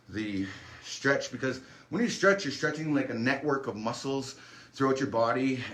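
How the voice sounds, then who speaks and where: A man leading a warm-up and stretching class in a relaxed, encouraging, chatty way, adding small asides as he goes. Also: mid-range voice. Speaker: fitness instructor. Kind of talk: guided workout instruction.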